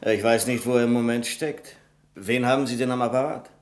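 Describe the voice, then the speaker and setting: An actor playing a resourceful, composed bank employee in a radio play, speaking politely and matter-of-factly. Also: male voice, mid-range voice, fairly even intonation, a little fast, natural voice. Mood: businesslike. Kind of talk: 1970s German-language radio play acting. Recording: old radio play, faint noise.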